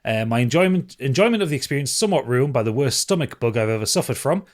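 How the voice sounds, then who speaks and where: A man's voice: medium pitch, lively intonation, fast. A man co-hosting a casual podcast, reading out messages and chatting with dry humour and some swearing. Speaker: podcast co-host. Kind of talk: casual chat, reading out listener feedback.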